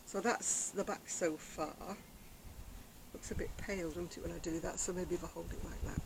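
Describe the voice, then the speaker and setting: Soft-spoken older woman chatting outdoors. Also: lowish voice, steady tone, normal speed, gravelly, very noisy. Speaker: crochet vlogger. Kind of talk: casual chat to camera. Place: outdoor deck in the sunshine. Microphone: external camera microphone.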